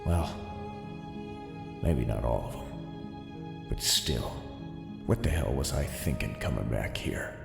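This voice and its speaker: A man playing a weary, disillusioned city cop in an audio drama, brooding slowly in a bitter, self-questioning monologue. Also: lively intonation, heavily acted. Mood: regretful. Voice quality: deep, gravelly. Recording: echoey long reverb, music underneath. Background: music.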